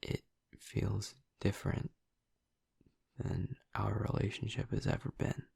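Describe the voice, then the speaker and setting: A man playing a gentle, earnest vampire boyfriend in an intimate audio roleplay, speaking softly and haltingly in a husky, breathy, hushed voice. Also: on the low side, steady tone, no room sound, clean studio sound, heavily acted. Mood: reflective, subdued. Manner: halting, soft-spoken, confiding with long pauses. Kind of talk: intimate audio roleplay, ASMR-like soft delivery.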